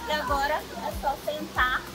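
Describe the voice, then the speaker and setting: A woman's voice: high voice, unhurried, music in the background. A Brazilian woman in her forties, a travel vlogger, cheerfully explaining step by step how to set up a camping hammock, recorded outdoors by a waterfall. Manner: lively, explains step by step while working. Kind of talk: upbeat tutorial vlog. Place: outdoors by a waterfall in woods, and an indoor room.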